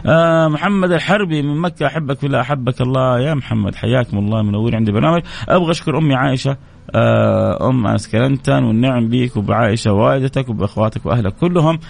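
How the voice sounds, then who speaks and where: A man's voice: mid-range voice, rapid-fire, gravelly. A man hosting a live radio program, reading out listeners' names and messages and answering each with a blessing.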